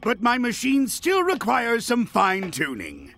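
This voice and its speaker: A grandiose, menacing mad-scientist villain from a video game. He gloats in a bright, high voice that swoops theatrically, drawing out his words slowly. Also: huge pitch swings, gravelly, slight background noise, full character voice. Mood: gloating. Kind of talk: video game cutscene voice acting.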